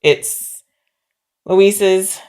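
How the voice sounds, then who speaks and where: A woman's voice: medium pitch, steady tone, drawn-out words, a little mellow, clear, resonant tone. A woman co-hosting a podcast, casually recapping and discussing a film in an informal, questioning way, often unsure of details. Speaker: podcast co-host discussing films.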